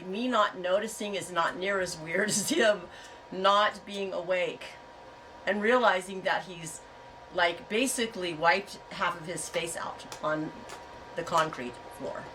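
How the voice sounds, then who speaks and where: A woman's voice: lowish voice, measured pace, fairly bright tone. A chatty woman telling a rambling story to her viewers, laughing often as she talks. Her nose is stuffed up from a head cold. She sounds a little distant from the mic, with a faint hiss of noise behind her. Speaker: yarn and fiber farm vlogger. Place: home on a farm.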